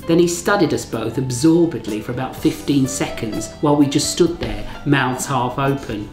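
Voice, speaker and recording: An English man narrates a novel with an expressive storyteller's delivery. The recording has a roomy echo, with faint music underneath. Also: medium pitch, normal speed. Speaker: author reading from own novel. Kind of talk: scripted first-person narration, audiobook reading.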